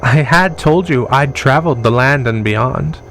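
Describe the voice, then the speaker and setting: A man's voice: medium pitch, measured pace, a bit bright, faint music behind, poor audio. A man playing a smug, mocking time-travelling professor villain in an audio drama, condescending and amused, with a genteel manner. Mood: gleeful, condescending.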